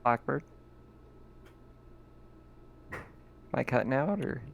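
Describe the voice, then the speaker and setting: A man's voice: medium pitch, expressive, normal speed, vocal fry, muffled recording, a crowd in the background. A man running a tabletop game over a voice call, often laughing and asking his players what they do. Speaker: game master.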